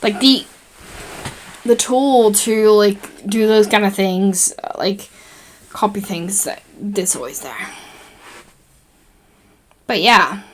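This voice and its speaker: Young Dutch woman with a Dutch accent, relaxed and rambling as she narrates her own screen-recording tutorial. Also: medium pitch, slow pace, long pauses. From Netherlands. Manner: chatty and rambling, with many fillers and self-corrections. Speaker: tutorial host.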